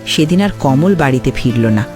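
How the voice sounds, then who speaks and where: A man's voice: medium pitch, lively intonation, moderate pace, over music. A man reading scripted story narration for a cartoon.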